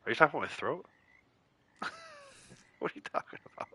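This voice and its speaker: A man's voice: fairly high pitch, very animated, fast talker, sharp tone, husky, no echo. A man streaming a video game, chatting casually with his viewers while congested and a bit nasal from a cold. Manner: chatty, reads out and answers viewer chat, laughs easily. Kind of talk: casual livestream chat while playing.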